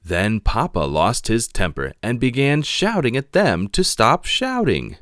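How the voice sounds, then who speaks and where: A man reading a children's picture book aloud in an expressive narrating style. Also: mid-range voice, a little slow, no room sound, clean studio sound. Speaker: storybook reader.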